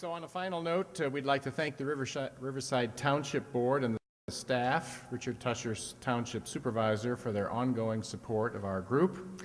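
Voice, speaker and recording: A man's voice: mid-range voice, fairly even intonation, normal speed. A man delivering prepared thank-you remarks as spokesman of an amateur drama group in a reverberant hall. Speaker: spokesman of a community radio-play group. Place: reverberant hall, live event. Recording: reverberant hall, faint background noise.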